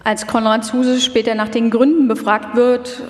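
A woman's voice: fairly high pitch, little pitch movement, moderate pace. A woman reading scripted documentary narration live, in a formal, factual manner. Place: large hall, live stage reading. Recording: reverberant hall.

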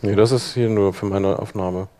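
A man presenting an informal live software workshop, talking casually and thinking aloud as he demonstrates. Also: lowish voice, fairly even intonation, moderate pace. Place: live talk in a conference room.

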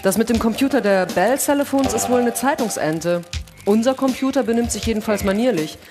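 A dry, dutiful astronaut on a moon station , acted by a woman for a radio play. The voice is a little high, and the delivery stays matter-of-fact. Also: fairly quick, over music, close to an everyday voice. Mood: dry, wary. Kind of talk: staged radio play reading.

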